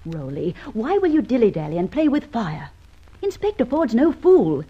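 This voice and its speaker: Husky, scolding confidante. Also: female voice, fairly high pitch, huge pitch swings, warm tone, full character voice. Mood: reproving. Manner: brisk, reproving. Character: sensible, scolding female confidante of a gentleman thief. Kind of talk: mid-century radio drama acting. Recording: dull, distant old radio recording.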